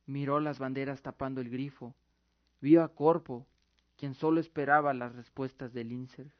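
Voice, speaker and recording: A man's voice: mid-range voice, fairly even intonation, normal speed, no room sound. A man giving dramatic scripted narration as the narrator of an audio drama. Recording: dull lo-fi recording with music. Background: music.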